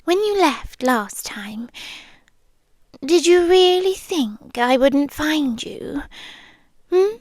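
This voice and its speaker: Casting: woman to play possessive British vampire girlfriend for ASMR roleplay. High, hushed, breathy voice, very slow delivery, lovesick and ancient. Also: animated, dry recording, heavily acted. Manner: hushed, breathy, slow and lingering, often whispering. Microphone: close microphone, dry.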